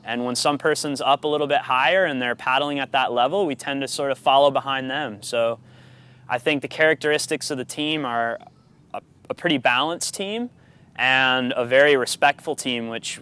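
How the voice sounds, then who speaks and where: Clear-voiced thoughtful man in his thirties. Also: mid-range voice, moderate pace, fairly bright tone. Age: 30s. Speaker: expedition paddler being interviewed. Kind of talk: casual interview answers.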